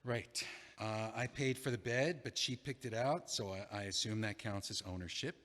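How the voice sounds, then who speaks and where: A man's voice: lowish voice, moderate pace, fairly bright tone, close to an everyday voice. An actor in an audio play, playing a recently dumped man who talks in a dry, wry, hesitant way, recorded with a long hall reverb. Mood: rueful. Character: recently dumped man, rueful and self-mocking.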